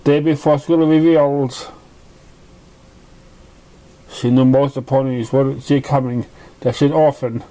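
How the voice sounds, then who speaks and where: A man reading a story aloud as narration, halting and often stumbling over the words. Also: mid-range voice, steady tone, dark tone, rough recording. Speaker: amateur fan fiction reader.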